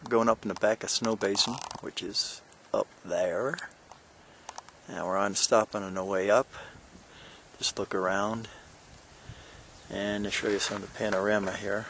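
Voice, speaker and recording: Gravelly older man rambling on a trail. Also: on the low side, steady tone, measured pace, fairly bright tone, slight background noise. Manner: rambling, joking, many fillers. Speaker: hiker filming a vlog. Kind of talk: casual narration while hiking. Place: outdoors on a mountain trail, windy.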